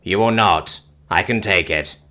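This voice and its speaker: Male narrator voicing an alien soldier, low-set, deadpan, formal. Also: a little slow, soft background music. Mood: commanding. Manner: flat, formal, deadpan delivery. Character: calm armored male alien, blunt, unemotional.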